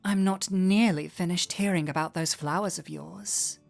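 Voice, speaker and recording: A woman playing a flirty, warm-hearted tavern innkeeper in an intimate roleplay audio drama, teasing coyly and playfully. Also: fairly low pitch, gravelly, faint music behind. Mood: playful, insistent. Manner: coy, playful, unhurried teasing.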